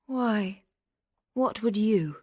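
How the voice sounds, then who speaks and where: A woman's voice: mid-range voice, warm tone, no echo. An actress playing a proud, witty young noblewoman with an arch, haughty, self-possessed manner, in a Shakespearean radio drama. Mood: intrigued, composed. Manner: arch, dry wit, measured.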